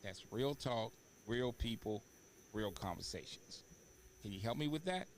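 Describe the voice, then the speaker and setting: A man hosting a talk show in a conversational interviewing style, often halting as he searches for words. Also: medium pitch, expressive. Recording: noticeable background room noise.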